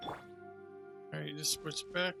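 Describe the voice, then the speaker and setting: A man with a slightly high voice chats casually through a video game. He thinks out loud in brief, offhand remarks, with game music playing in the background. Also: flat delivery, slow pace, gravelly. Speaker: gamer playing through a story quest. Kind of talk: casual gameplay commentary.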